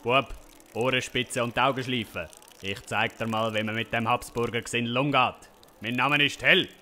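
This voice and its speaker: A man's voice: medium pitch, fairly even intonation, normal speed, sharp tone, a little hiss or hum. An actor playing a stubborn, boastful folk-hero father with a Swiss German accent in a comic audio play. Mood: cocky. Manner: defiant, declamatory.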